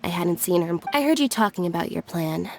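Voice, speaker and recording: A woman voicing a cool, blunt, quietly stern young sister in an animated game, terse and matter-of-fact. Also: on the low side, quick pace, slight background noise. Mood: firm.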